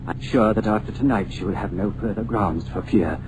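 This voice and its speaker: A man's voice: on the low side, fairly quick, natural voice. A man playing a grandiose occult crusader. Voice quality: theatrical, grandiose. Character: Harley, eccentric occult-hunting older man. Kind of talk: 1940s radio drama acting. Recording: muffled old radio broadcast.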